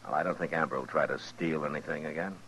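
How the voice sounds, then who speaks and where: A calm, fair-minded frontier lawman with a very low voice. He speaks in a measured, understated American way, firm when he needs to be, in the manner of a 1950s radio western. The old recording has a faint noise under it. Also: poor audio. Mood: firm, stern. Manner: measured, plain-spoken, understated.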